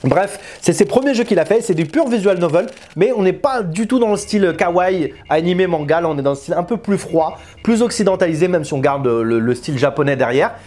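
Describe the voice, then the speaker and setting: A man's voice: high-pitched, lively intonation, a little fast, few pauses, darkish tone. A man, talking casually and informally to camera as a video game reviewer, using slang. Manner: informal, slangy, chatty, gesturing. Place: small indoor room with bookshelves.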